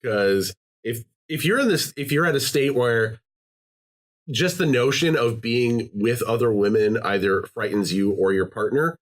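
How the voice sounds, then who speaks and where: A man's voice: mid-range voice, a little slow. A man hosting a podcast, talking casually, rambling with many fillers and restarts. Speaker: podcast host. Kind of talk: casual chat.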